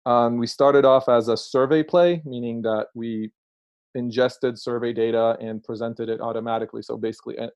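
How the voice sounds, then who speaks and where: A man's voice: medium pitch, steady tone, normal speed, darkish tone, no room sound. Israeli-accented man in his 30s, guest founder, hesitant, dry dull call audio. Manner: hesitant, lots of ums, light laughs. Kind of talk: interview answers. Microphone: remote call.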